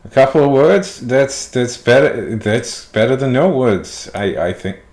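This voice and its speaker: A man chatting casually on a writing livestream, his voice a little tired, rambling and playful, trailing off quietly. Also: medium pitch, lively intonation, a little slow, echoey room. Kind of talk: casual stream chat.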